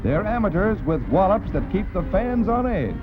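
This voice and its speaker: A 1940s American newsreel man delivers scripted commentary in a highish, very animated voice. He is jaunty and punchy, with a wisecracking showman's touch. The old soundtrack is dull, with music playing clearly behind him. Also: moderate pace. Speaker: newsreel narrator. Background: background music.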